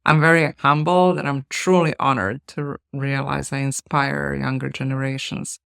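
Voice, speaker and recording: A middle-aged woman from Bosnia, a surgeon giving measured, earnest and humble answers in an interview. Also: a bass voice, flat delivery, gravelly, clear, resonant tone, no room sound, clean studio sound.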